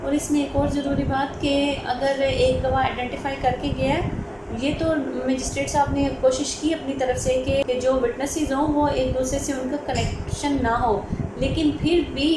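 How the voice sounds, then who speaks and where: A woman with a Pakistani accent explains law in an informal lecture style, dropping in English terms. Her voice sits fairly high. The recording is very echoey, with clear background noise. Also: flat delivery, moderate pace. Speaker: advocate teaching law.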